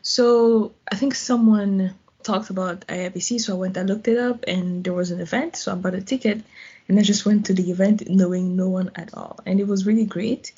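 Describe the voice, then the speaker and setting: Husky woman, chatty guest. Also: medium pitch, steady tone, fairly quick, dark tone, muffled recording. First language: French. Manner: chatty, laughs often, uses "like". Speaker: guest, volunteer. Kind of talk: casual interview answers.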